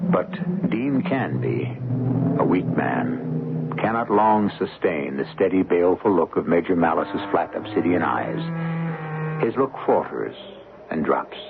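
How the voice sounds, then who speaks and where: A man narrating a radio mystery drama as its host, with grave, measured, deliberate phrasing. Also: deep voice, vocal fry, background noise, exaggerated voice. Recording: muffled old radio broadcast.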